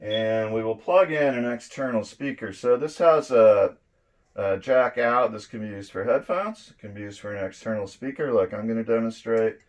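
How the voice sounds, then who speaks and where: A middle-aged man giving a casual, unhurried hands-on demonstration, explaining each step as he goes. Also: lowish voice. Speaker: presenter of electronics demo videos. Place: indoors at a draped table.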